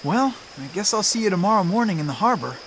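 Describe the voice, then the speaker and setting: A man's voice: sits high, sing-song, quick pace, a bit bright, a little hiss or hum, exaggerated voice, rough recording. A man playing an earnest, protective teenage boy in a video game cutscene, caring, impassioned and a little awkward. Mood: awkward, tender.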